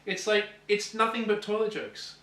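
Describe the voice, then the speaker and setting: A man with a fairly high, bright voice, recorded away from the mic in an echoey room. He presents a list as casual chat, stumbling and repeating himself with dry sarcasm. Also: steady tone, recorded from a distance. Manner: halting, repeats phrases, sarcastic joking. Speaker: co-host of a gaming show.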